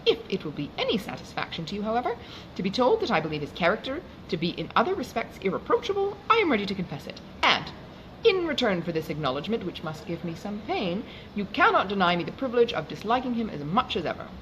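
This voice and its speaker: A woman voicing a stubborn, playful young gentleman from a period novel, teasing in mock-indignant formal speech. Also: medium pitch, very animated, fast. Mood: playfully indignant. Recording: clearly noisy background.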